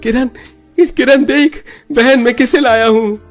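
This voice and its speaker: A male narrator acts out a poor, desperate family man in a melodramatic animated moral tale. His voice sits higher, with an emotional, imploring delivery, and music plays underneath. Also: measured pace. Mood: moved. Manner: pleading, emotional. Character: poor young father Manohar, gentle, desperate, pleading. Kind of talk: melodramatic Hindi moral-story voice acting.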